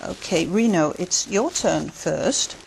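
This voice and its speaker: A woman running a speaking exam, who reads out prompts in a measured, clear way and gives brief acknowledgements between answers. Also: lowish voice, lively intonation, slight background noise, rough recording. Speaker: examiner. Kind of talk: scripted exam questions.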